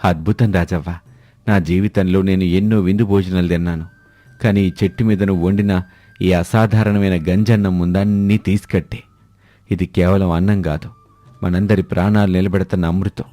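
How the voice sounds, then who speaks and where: A man voicing a proud, supportive village family man in an animated moral story, speaking with earnest, admiring emphasis. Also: sits low, moderate pace, no room sound, faint music behind, close to an everyday voice.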